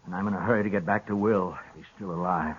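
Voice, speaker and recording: An actor playing a crusty old rancher and tracker, plainspoken, slow and grimly resolute, in 1950s radio western style. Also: male voice, deep voice, a little hiss or hum, natural voice. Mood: stubborn resolve. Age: older. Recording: dull vintage radio recording.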